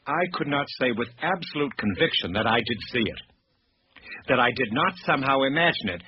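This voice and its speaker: A man playing an anxious, erudite professor in a radio drama, speaking in formal, precise, halting sentences. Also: mid-range voice, breathy edge. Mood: haunted, hesitant. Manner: halting, formal, precise diction with hesitant self-corrections. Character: anxious, erudite male professor recounting eerie sightings. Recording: dull radio-like recording with music behind.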